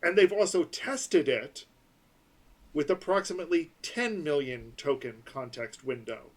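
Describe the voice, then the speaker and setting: A man co-hosting a casual tech podcast, explaining things step by step and slowing down on numbers. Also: on the high side, expressive. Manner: explanatory, breaks numbers into slow pieces. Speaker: podcast co-host.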